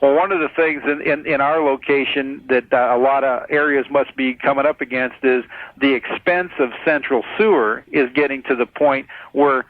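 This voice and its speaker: An American man calling in to a radio show, explaining his disagreement in a measured, methodical, conversational way over the telephone. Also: medium pitch, steady tone, no room sound. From United States. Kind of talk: conversational debate. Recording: muffled narrow phone line on radio.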